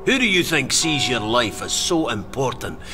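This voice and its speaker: A man's voice: medium pitch, very animated, normal speed, over music, close to an everyday voice. An actor playing a bold, wary male outlaw in a radio drama, terse, urgent and commanding. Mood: commanding, wry.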